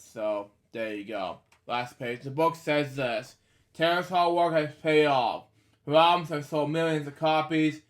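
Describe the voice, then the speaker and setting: A man in his twenties with a somewhat high voice reads aloud casually as the host of a story series. He stumbles over words, then drifts into rambling, off-the-cuff commentary and falls back on the same catchphrases. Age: 20s. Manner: halting, stumbles over words while reading; rambling asides with repeated catchphrases.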